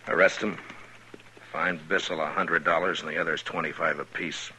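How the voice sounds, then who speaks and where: An actor playing a calm, steady frontier marshal who speaks in a terse, measured way, in 1950s radio drama acting. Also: male voice, very deep voice, a little hiss or hum, exaggerated voice, poor audio. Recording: old radio recording with music.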